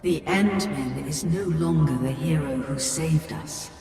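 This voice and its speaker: An actress playing a somber, disillusioned villager in a video game, speaking in measured, doubtful tones. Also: female voice, low-pitched, creaky, music in the background, heavily acted. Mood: somber, doubting. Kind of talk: dramatic video game dialogue. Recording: heavily reverberant, distant flashback echo.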